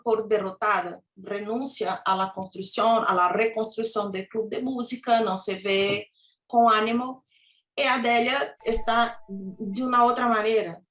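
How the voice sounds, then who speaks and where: A woman with a Brazilian Portuguese accent, a native Portuguese speaker giving an academic presentation on a video call, halting and often restarting as she searches for words. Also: fairly high pitch, a bit bright. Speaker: seminar speaker.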